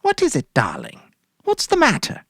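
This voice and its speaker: Female actor as doting housewife, very animated pitch, coaxing, anxious, dramatic story reading, close dry sound. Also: moderate pace, husky, no echo, exaggerated voice. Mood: anxious, pleading. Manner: coaxing, solicitous.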